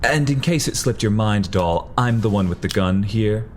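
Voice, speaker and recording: Man as a low-voiced, sardonic vampire gunman. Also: animated, normal speed, slight background noise, close to an everyday voice. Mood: wry, menacing. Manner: dry, condescending, commanding. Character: cool, sardonic male vampire in a suit with revolver. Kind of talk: audio drama acting, old-fashioned slang.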